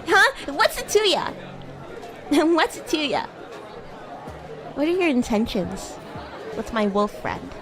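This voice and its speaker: A woman plays a bratty young demon schoolgirl in a livestream roleplay. Her high voice swings up and down at an unhurried pace as she teases, stammers and gets defensively haughty. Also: very animated, crowd noise, exaggerated voice. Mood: bossy, teasing. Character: bratty, flustered young female demon classmate, playfully haughty. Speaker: VTuber streamer.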